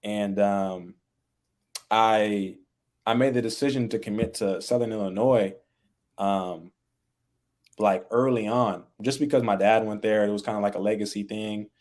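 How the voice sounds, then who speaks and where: A young man talking casually as a podcast guest, relaxed and full of fillers, raised in the American Midwest. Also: on the low side, measured pace. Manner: relaxed, laid-back, many fillers like "like" and "you know". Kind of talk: casual interview chat.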